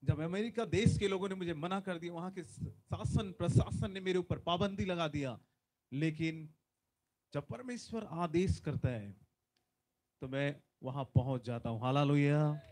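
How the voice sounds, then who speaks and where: A man's voice: on the high side, measured pace. Fervent man preaching on a stage. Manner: fervent, repeats hallelujah, loud emphatic bursts. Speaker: visiting preacher. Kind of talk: Hindi sermon with prayer. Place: large decorated conference stage. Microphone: handheld microphone.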